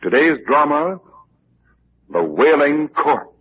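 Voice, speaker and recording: Animated American vintage radio announcer, man. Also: on the high side, takes it slowly, breathy edge. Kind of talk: 1940s radio scripted announcing. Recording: dull, hissy 1940s radio recording.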